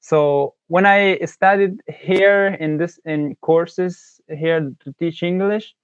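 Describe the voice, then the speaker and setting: A man's voice: sits high, steady tone, slow pace, clean tone, no room sound, dull audio. Hesitant man. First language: Persian. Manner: hesitant, many "uh" fillers. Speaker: English learner. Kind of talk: casual conversation.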